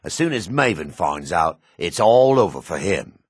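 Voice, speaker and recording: A man voicing a gruff, wary male dark elf townsman in a fantasy video game, with a low, husky voice. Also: very animated, normal speed, dry recording, clean studio sound, exaggerated voice. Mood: angry.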